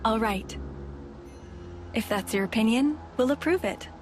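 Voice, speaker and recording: A woman's voice: fairly high pitch, a little slow, over music, natural voice. A woman voicing a composed, polite military leader in a video game, speaking precisely. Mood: composed, approving.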